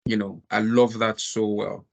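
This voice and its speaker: A Nigerian man with a Nigerian accent that has some American influence, hosting an online meeting and talking over a video call, laughing easily and speaking in quick bursts. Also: on the low side, little pitch movement, vocal fry. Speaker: webinar host.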